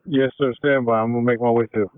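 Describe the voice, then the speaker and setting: A man's voice: mid-range voice, fairly even intonation, fast, clear, resonant tone, no room sound. A man, a firefighter, giving updates over emergency two-way radio. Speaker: firefighter officer. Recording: tinny, dry two-way radio.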